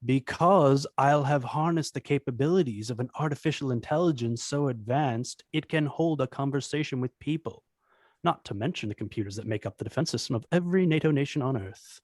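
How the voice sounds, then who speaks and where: A man's voice: mid-range voice, fairly quick, a little mellow, no echo, clean studio sound, close to an everyday voice. Smug, commanding corporate villain played by a man. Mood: smug scheming. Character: ruthless, scheming corporate villain, adult man. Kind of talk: amateur script table read.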